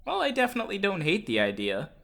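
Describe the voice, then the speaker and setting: A man's voice: high voice, wildly swooping pitch, quick pace, gravelly, a bit bright, pitched above the performer's own voice, full character voice. A man playing his guarded, reluctant eighteen-year-old self in an audio drama, with terse, unsure delivery. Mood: surprised.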